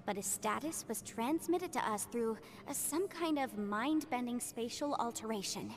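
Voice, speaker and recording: An actress playing a composed young woman strategist in a video game, speaking in an earnest, deliberate, analytical way. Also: fairly high pitch, animated, a little hiss or hum. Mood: pondering, grave. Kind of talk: video game cutscene acting.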